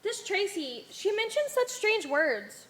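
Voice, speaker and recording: A woman's voice: very high-pitched, big pitch swings, unhurried, a bit bright, heavily acted. A young woman playing an earnest, questioning follower in an amateur stage play, with a hesitant, searching manner. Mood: wistful. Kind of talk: amateur one-act stage play acting. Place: theater stage.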